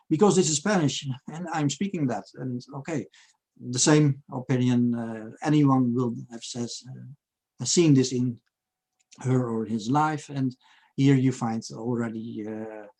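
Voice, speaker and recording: A man in his sixties with a Dutch accent, giving a halting academic seminar lecture over a video call and reading out quoted passages. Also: medium pitch, mellow, poor audio. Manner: halting, many fillers, reads Spanish quotes aloud.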